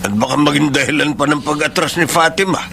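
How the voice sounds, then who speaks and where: A man's voice: a little high, quick pace, gravelly. An actor playing an older father, paternal and admonishing, wary of scandal, in a radio drama. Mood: scolding, cautioning. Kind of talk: Tagalog radio drama acting. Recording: noisy radio drama track.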